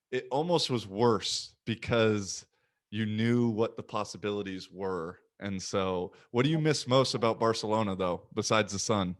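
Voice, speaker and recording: A young man hosting a podcast, chatting casually and animatedly, with quick asides and little voice impressions in his stories. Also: fairly low pitch. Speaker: podcast host. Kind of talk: casual conversation.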